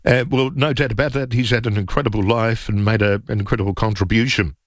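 A man's voice: lowish voice, steady tone, quick pace, dry recording. A man hosting talkback radio, teasing and sarcastic in casual banter.

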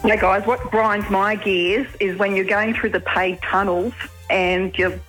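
Mid-pitched female radio caller. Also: fairly even intonation, a little slow, a bit bright, soft background music, poor audio. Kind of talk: casual complaint.

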